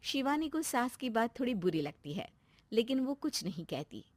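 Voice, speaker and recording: A woman's voice: on the high side, very animated, normal speed, very noisy. A woman reading scripted story narration.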